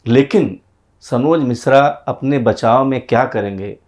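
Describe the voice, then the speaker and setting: Interviewer guy with a sore throat, slow drawn-out rhetorical questions, talks right to the viewers, mid-pitched. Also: darkish tone. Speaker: interviewer, channel host.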